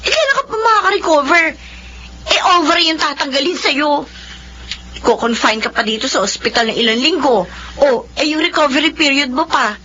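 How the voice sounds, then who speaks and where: A woman's voice: high voice, animated, a little fast, bright, forceful tone, slight background noise. An actress in her thirties playing an emotional, tearful daughter and office officer who also narrates, in a radio drama. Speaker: lead character and narrator. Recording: dull lo-fi radio recording with music.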